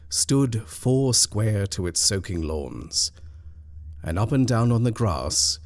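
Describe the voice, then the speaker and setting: A man reading a scripted ghost story in a measured, dramatic storyteller's manner. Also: deep voice, a little mellow. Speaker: story reader.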